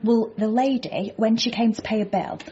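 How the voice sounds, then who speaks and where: An actress playing a blunt but kindly cafe waitress in a radio comedy drama, deadpan and matter-of-fact. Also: female voice, a little high, normal speed, breathy edge, muffled recording.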